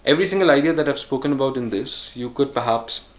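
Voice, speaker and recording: A man vlogging a reflective solo monologue, musing and earnest, with frequent pauses and restarts. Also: mid-range voice. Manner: earnest, speaks of choosing calm over harshness.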